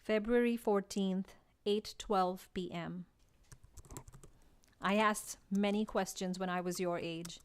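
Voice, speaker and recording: Woman playing gentle young woman, medium pitch. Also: fairly even intonation, slow pace, clean tone, lightly acted. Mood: gently cautioning. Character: gently discouraging twenty-six-year-old female lion tamer. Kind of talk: radio drama letter monologue.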